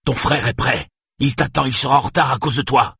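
A man's voice: deep voice, normal speed, husky, dry recording, clean studio sound, full character voice. A man voicing a harsh, exasperated father who scolds his young daughter in a stern, angry voice for an audiobook. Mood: furious yelling. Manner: stern, shouting when angered.

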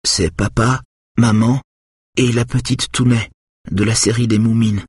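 A man's voice: medium pitch, fairly even intonation, a little slow, slightly rough, no echo, clean studio sound, pitched above the performer's own voice. A man reading an audiobook voices a traumatized teenage boy under hypnosis, his words frantic and plaintive. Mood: dazed. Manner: frantic, breathless, rising panic.

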